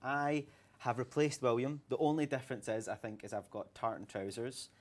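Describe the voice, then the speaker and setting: A man's voice: medium pitch, steady tone, moderate pace. A young Scottish man hosting a livestream interview, chatty and upbeat with plenty of ums. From Scotland. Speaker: livestream host. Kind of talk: casual interview chat.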